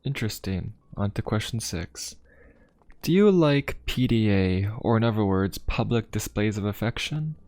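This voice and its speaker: A man playing a courteous, inquisitive young pope of a steampunk fantasy world in an ASMR roleplay, speaking politely, formally and in a gently musing way. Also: fairly low pitch, animated, moderate pace, no echo, close to an everyday voice. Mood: musing, friendly. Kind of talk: ASMR roleplay addressed to the listener. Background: music.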